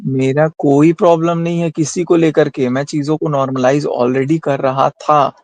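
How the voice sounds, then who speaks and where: A man's voice: on the high side, steady tone, normal speed, a little mellow, no room sound. An Indian man arguing heatedly and insistently in a group discussion, often repeating his phrases. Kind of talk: heated argument in a group call.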